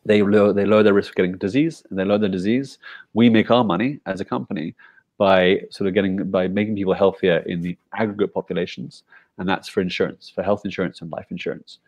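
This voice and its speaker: British startup founder, deep voice, brisk and eager explainer, dry video-call audio. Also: male voice, steady tone, a little mellow, dry recording. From Britain. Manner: explains eagerly with rhetorical "right?" checks. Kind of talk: casual video call interview.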